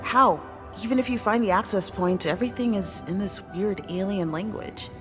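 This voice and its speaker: Actress as practical scientist crewmate, video game. Mid-pitched, direct, expressive, over heavy music. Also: female voice, normal speed, natural voice. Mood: concerned, practical. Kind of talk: video game cutscene acting.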